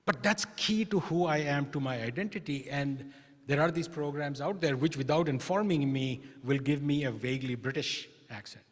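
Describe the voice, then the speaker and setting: A man's slightly high voice rings through a reverberant hall. His Indian accent carries some American influence, and he lectures informally with a wry touch, like a keynote speaker talking off the cuff. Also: moderate pace. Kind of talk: conference keynote, conversational lecture. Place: large indoor hall, conference keynote.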